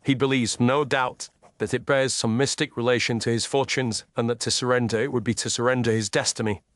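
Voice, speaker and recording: A man's voice: mid-range voice, steady tone, fairly quick, fairly bright tone, dry recording, pitched above the performer's own voice, close to an everyday voice. A man playing a shrewd, self-assured Victorian detective with a precise, commanding, analytical delivery. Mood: cool, assured.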